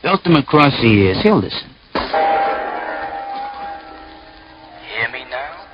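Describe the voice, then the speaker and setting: An actor playing a sardonic, mockingly polite gangster boss with drawling hesitations in an old radio crime drama. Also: male voice, mid-range voice, big pitch swings, over music, close to an everyday voice. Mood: threatening. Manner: mock-courteous, wisecracking, drawn-out hesitations. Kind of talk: 1940s-50s radio crime drama acting. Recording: muffled, noisy old radio recording.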